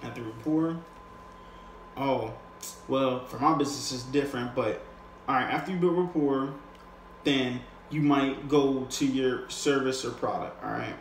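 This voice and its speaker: A man's voice: mid-range voice, unhurried. Guy giving casual sales coaching off the top of his head, slangy, echoey room, bit of noise. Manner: conversational, slangy, often checks in with the listener. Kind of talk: casual, unscripted instructional talk.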